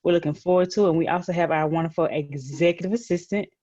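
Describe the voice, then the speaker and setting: A woman's voice: fairly low pitch, fast, dark tone, dry recording, muffled recording. A woman hosting an online program for young girls, speaking in an encouraging, announcer-like way. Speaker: host of a girls' group virtual story time.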